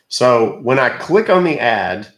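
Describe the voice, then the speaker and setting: A male live-stream host who chats casually and explains each step to his viewers. His voice is mid-pitched and sounds echoey, as if recorded in a bare room. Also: sing-song, unhurried, a bit bright. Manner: explanatory, addressing viewers directly. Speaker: livestream host.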